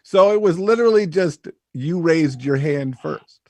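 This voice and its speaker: A man's voice: a little high, animated, a little slow. A man hosting an interview, asking probing questions in a playful, interrupting way. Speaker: interview host.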